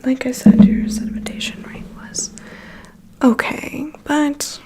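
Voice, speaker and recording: A woman's voice: high-pitched, steady tone. Woman playing a whispering, gossipy vampire's assistant. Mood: matter-of-fact, caring. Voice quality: soft, breathy whisper. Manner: confiding, gossipy, unhurried. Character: chatty, gossipy, weary female assistant to a vampire. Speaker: ASMR performer. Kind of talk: ASMR personal attention roleplay.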